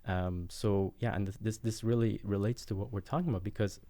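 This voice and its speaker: Earnest guy on a panel, keeps saying right?, soft dark voice. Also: fairly low pitch, fairly even intonation, a little fast. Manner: earnest, tags points with "right?". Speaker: guest. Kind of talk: roundtable discussion.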